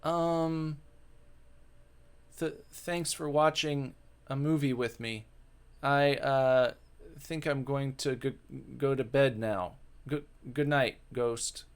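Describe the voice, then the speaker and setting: A man voicing an excitable, chatty young woman in a visual novel, gushing and sometimes halting shyly. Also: mid-range voice, clean tone, natural voice.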